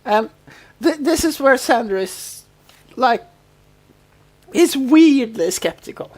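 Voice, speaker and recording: A woman with a fairly high voice hosts a casual recap podcast. She retells scenes in a loose, chatty way, slipping into quoted dialogue as she goes. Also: takes it slowly. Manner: animated retelling, acting out bits of dialogue. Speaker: podcast co-host.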